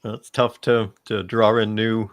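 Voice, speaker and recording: A man's voice: fairly low pitch, level tone, measured pace. A man hosting a casual panel discussion, speaking conversationally. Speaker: panel host.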